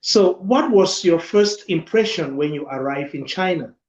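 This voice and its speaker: A man's voice: on the high side, animated, a little slow, darkish tone. A man hosting an interview, asking short questions in a friendly, casual way. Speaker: interview host. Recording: echoey, dull-sounding room.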